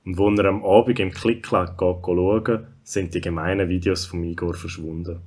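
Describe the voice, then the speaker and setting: A man's voice: low-pitched, steady tone, normal speed, a little mellow. A man with a Swiss German accent narrating a scripted children's story.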